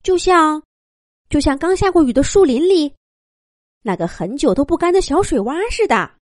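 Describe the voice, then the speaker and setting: Female narrator as a young male rabbit, high pitch, very animated, curious, pestering, excitable, storybook character. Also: no room sound, clean studio sound. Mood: eager, curious. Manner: insistent, excitable rapid questioning.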